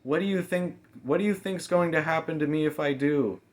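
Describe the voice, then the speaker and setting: A man's voice: fairly high pitch, fairly quick, pitched above the performer's own voice, natural voice. Man voicing a desperate, breathless young heroine. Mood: breathless frustration. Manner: breathless, frustrated pleading. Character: desperate, stubborn young girl heroine, pleading and defiant. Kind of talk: audiobook character dialogue.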